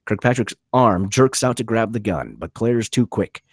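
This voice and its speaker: Male table-read narrator: raspy, punchy, lively pitch swings, dry close sound. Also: normal speed, dry recording. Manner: vivid, punchy reading of action. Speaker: narrator reading stage directions.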